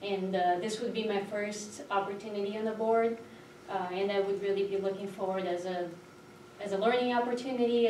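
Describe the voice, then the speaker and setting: A woman from Brazil, a native Portuguese speaker, giving an informal candidate introduction in a hesitant, halting way. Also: medium pitch, a little mellow. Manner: hesitant, halting with frequent pauses. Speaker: school board candidate. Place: indoor public board meeting, far microphone. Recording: roomy, noisy, distant meeting-room microphone.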